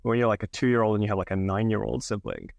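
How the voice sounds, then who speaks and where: A man in his thirties with an English accent, slightly northern, telling stories from his life as a podcast guest, relaxed with lots of fillers. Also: on the low side, fast talker, creaky, no echo. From England. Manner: relaxed, many fillers, laughs often. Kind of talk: candid conversational storytelling.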